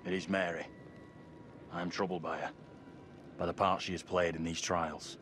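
An actor playing an earnest, troubled young colonial townsman, speaking formally and gravely in period drama dialogue. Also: deep voice, little pitch movement, moderate pace, noisy background, lightly acted. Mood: troubled, urgent. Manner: formal, grave, archaic phrasing.

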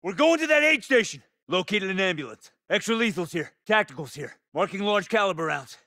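A tough American soldier in a military shooter game. He gives clipped, commanding combat callouts in a bright, forceful, high-set voice, recorded very dry. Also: big pitch swings, brisk, no echo, exaggerated voice. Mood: calm, focused. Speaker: video game voice actor.

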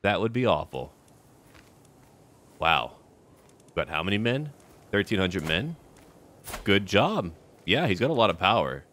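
Deep-voiced man commentating a strategy game. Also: sing-song, measured pace, pauses often, fairly bright tone, a little hiss or hum. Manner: musing aloud in short clipped remarks. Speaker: gaming video host.

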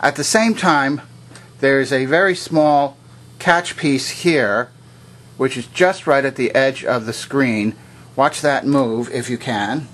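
A man's voice: medium pitch, slow pace, a bit bright, slight background noise. A man giving an unscripted, methodical demonstration, explaining things step by step in a plainly instructional way. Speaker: presenter of vintage electronics demonstrations.

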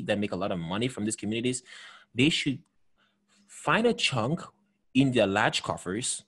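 Husky-voiced earnest man on a call. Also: fairly low pitch. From Cameroon. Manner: earnest, emphatic, many restarts and repeated words. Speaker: host. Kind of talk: conversational video call chat. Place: home room with bookshelves. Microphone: headset with desk microphone.

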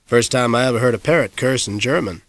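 A man's voice: mid-range voice, quick pace, dry recording, close to an everyday voice. Man doing a folksy middle-aged cook, plain talking, everyday voice. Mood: matter-of-fact.

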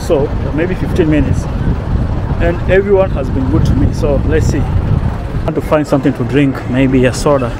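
Kenyan male vlogger, slightly high voice, chatty, busy outdoor street noise. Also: normal speed. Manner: chatty, repeats "man" and "I'm telling you". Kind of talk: casual travel vlog narration. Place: outdoors in a busy city market. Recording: noisy outdoor street recording.

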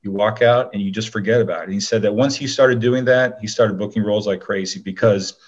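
A man's voice: lowish voice, steady tone, quick pace, highs cut off. A man speaking as an interview guest, giving casual advice in a rambling way full of "you know".

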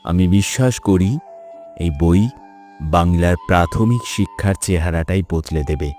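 A man's voice: deep voice, unhurried, a little mellow, soft background music. A man voicing an earnest, resolute Bengali scholar and reformer in a cartoon, speaking formally and with measure. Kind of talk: scripted cartoon dialogue.

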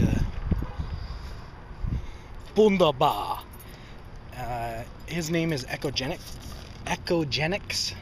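Casual male vlogger, thirties, slightly higher voice, laid-back and rambling, phone-camera sound with loud background noise. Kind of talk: casual vlog chat. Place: grocery store, then inside a car at night. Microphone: handheld camera at arm's length.

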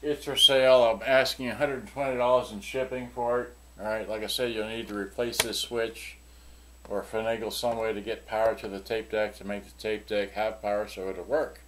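A man's voice: on the low side, level tone, moderate pace. An older man giving a rambling, offhand product demonstration in a casual way. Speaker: seller demonstrating used electronics. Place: small cluttered indoor workshop. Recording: clearly noisy room, clicks of handled switches.

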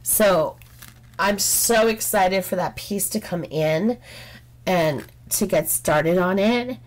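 A woman's voice: lowish voice, slow, poor audio. A woman chatting casually while she works on a craft, frank and rambling with lots of "um"s, her throat getting sore. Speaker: craft channel host. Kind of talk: casual chat while diamond painting. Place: home craft table, indoors.

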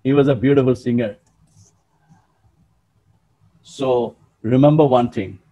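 A man in his sixties preaching a sermon in an emphatic, animated way, often calling out to his listeners, over a webcam in a small room. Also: medium pitch, a little slow, rough recording. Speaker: pastor.

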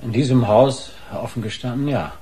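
A man's voice: medium pitch, moderate pace, dark tone, a little hiss or hum, natural voice. A man playing a polite, probing newspaper reporter in a radio crime drama, asking courteously and a little hesitantly. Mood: polite, apologetic.

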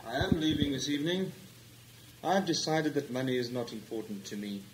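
A man playing an earnest, high-minded wandering musician in a radio-play parody, with grandiose theatrical delivery. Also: poor audio.

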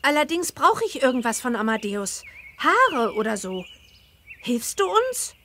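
A cheerful teenage witch girl for a children's game. Her voice is high and swoops expressively, with clear diction over some background noise. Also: sing-song, a little slow, bright, forceful tone, exaggerated voice. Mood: helpful, thoughtful. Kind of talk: scripted children's game dialogue.

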